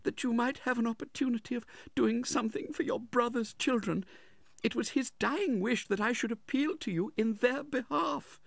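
Man putting on a high, faltering widowed mother. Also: big pitch swings, moderate pace, creaky, no room sound, heavily acted. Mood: faltering, sad. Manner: faltering, pleading, shift+ high voice. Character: tearful, timid middle-aged widowed mother, genteel.

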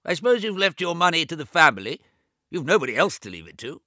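Brief: a man playing a fussy, money-minded old man near eighty, high thin voice, quick prattle, dry sound. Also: very animated, bright, forceful tone, dry recording. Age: 80s.

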